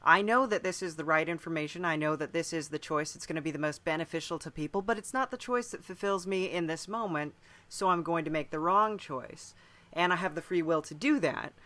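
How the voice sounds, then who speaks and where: Podcast host guy, bright forward voice, casual and musing, kinda rambles through questions. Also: fairly high pitch, a little fast. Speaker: podcast interviewer. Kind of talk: casual interview chat.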